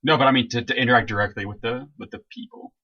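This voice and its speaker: A young man co-hosting a casual podcast, tossing in goofy jokes with a deadpan delivery. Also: medium pitch, racing. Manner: goofy, joking deadpan asides. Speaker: podcast co-host. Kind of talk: casual chat. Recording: dull lo-fi recording.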